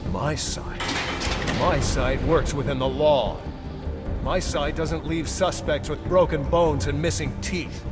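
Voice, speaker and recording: An actor playing a principled, stern, commanding police officer in a dramatic video game scene. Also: male voice, fairly high pitch, slow. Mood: indignant. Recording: loud music underneath.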